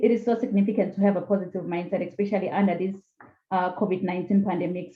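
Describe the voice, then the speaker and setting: Enthusiastic woman host on a tinny line. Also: mid-range voice. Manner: enthusiastic, laughs often, repeats words. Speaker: podcast host. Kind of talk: online panel hosting. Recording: echoey room over a tinny phone-like line.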